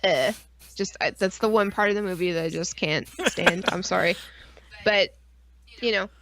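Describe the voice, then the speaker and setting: Woman podcast co-host, mid-pitched, casual and rambling, informal with mild swearing. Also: normal speed, fairly bright tone. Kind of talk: casual chat.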